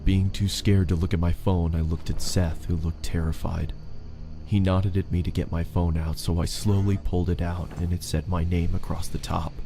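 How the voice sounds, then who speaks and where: A man's voice: sits low, little pitch movement, moderate pace, gravelly, over music. A man reads a scripted first-person horror story as its narrator.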